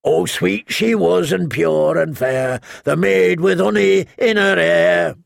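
Man as a high, loud singing jester. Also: slow, vocal fry, dry recording, clean studio sound, heavily acted. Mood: merry. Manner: loud sing-song. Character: boisterous singing court fool belting a bawdy ballad.

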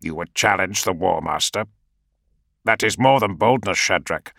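Narrator doing a measured, deliberate male adviser, a little higher and more formal than his narration. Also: lively intonation, gravelly, sharp tone, no echo, clean studio sound, exaggerated voice. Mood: careful. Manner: measured, mockingly sober, deliberate. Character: wry, measured male adviser, dryly courteous.

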